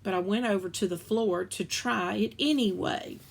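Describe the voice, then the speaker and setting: A woman reads a children's story aloud with plenty of expression. She narrates as a storyteller and plays out the characters' dialogue, at a medium pitch. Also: moderate pace, vocal fry. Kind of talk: children's book read-aloud.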